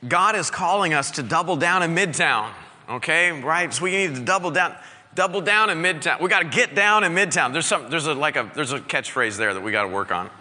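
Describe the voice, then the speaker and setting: Sharp-voiced man preaching in a hall. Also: on the high side, lively intonation, fairly quick. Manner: exhorting, call-and-response with congregation. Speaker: pastor. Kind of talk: energetic preaching sermon.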